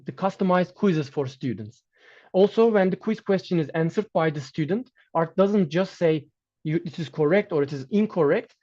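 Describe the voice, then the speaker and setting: A man whose first language is Turkish, giving an academic lecture in English over slides, measured and pausing, often restarting his phrases. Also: a little high, darkish tone, lo-fi sound. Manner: measured, with frequent restarts and fillers. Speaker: guest lecturer.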